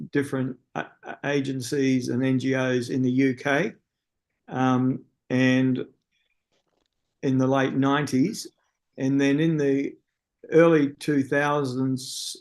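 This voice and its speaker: A man with an Australian accent opens an online symposium over a video call. His voice sits at an ordinary middle pitch. He talks in a friendly way, with plenty of "uh" fillers and restarts. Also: darkish tone. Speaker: webinar host. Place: speaking from a room in a city at night.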